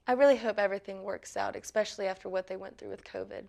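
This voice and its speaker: A woman's voice: mid-range voice, steady tone, fast, creaky, a little mellow. A woman co-hosting a student show, chatting lightly and tossing to a break. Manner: chatty, light, laughs easily. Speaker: co-host. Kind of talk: casual scripted banter.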